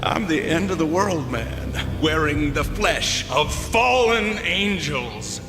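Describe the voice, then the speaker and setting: Frenzied gangster wolf-demon, slow, swinging pitch, echoey hall, game score behind. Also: male voice, big pitch swings, heavily acted. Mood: ominous. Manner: wild rant swinging from whispers to shouts. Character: deranged male gangster wolf-demon, ranting. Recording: roomy hall reverb with music.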